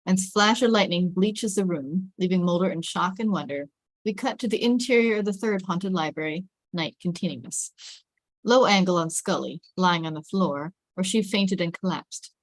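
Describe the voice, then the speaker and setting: A woman's voice: on the low side, moderate pace, no echo. A woman reading stage directions as the narrator of a scripted drama table read.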